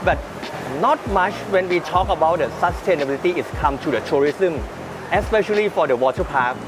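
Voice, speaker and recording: A man's voice: fairly high pitch, a little fast, noisy background. A man hosting a casual on-location interview, eager and repetitive in his phrasing. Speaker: interviewer, show host. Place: outdoor water park. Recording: clear music behind, outdoors.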